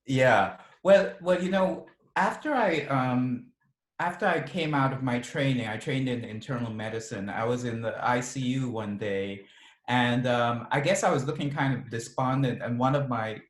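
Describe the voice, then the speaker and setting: A middle-aged man with a mixed Ghanaian, British and Californian accent, telling stories as an author guest in a relaxed interview, laughing often and restarting his sentences. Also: medium pitch, normal speed. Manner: chatty, laughs often, many restarts and ums. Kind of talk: relaxed storytelling in a video interview. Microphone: video call. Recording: echoey room on a video call.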